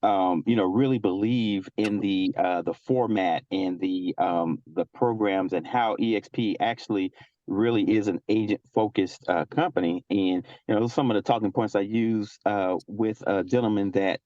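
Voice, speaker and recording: Low-ish guy rambling thoughtfully, dull lo-fi dry audio. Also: moderate pace, mellow, no room sound. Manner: thoughtful, winding with fillers. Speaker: real estate agent on a team call. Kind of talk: sharing a story on a video call.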